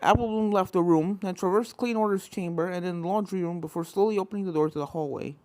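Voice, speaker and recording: A woman's voice: fairly low pitch, fast talker, a little mellow, no echo. A woman reading a scripted fan fiction story as narrator, with spooky video game music behind her.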